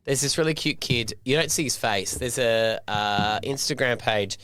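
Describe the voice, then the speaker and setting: A middle-aged man co-hosting a podcast, chatting casually and teasing with quick storytelling. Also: medium pitch, a bit bright, clear, resonant tone, no echo. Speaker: podcast co-host. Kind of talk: casual chat.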